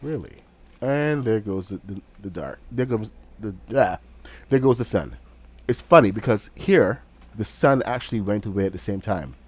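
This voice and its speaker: Male streamer, slightly low voice, has a cold; loose, rambling play-by-play with fillers. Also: lively intonation, moderate pace, breathy edge. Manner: rambling, with frequent fillers and restarts. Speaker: game streamer. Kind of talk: casual live-stream commentary while building.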